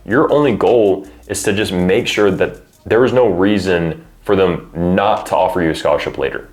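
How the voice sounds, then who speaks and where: A man giving conversational recruiting advice as a coach, in an informal way peppered with filler phrases like "you know". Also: low voice. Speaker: college football recruiting coach and adviser. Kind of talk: conversational advice, explaining to parents and athletes.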